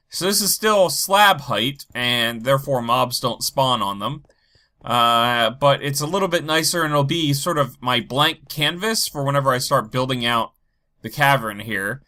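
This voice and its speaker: A man with a head cold, stuffy and a bit low energy, rambling as he talks through his game and thinks aloud. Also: sharp tone. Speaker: Minecraft let's play host. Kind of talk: casual gameplay commentary.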